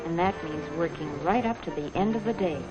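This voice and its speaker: An actress playing a polite, earnest young American office worker, narrating a 1950s training film in a measured, proper way. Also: female voice, on the low side, natural voice. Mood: reflective, earnest. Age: young adult. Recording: dull 1950s film soundtrack, music behind.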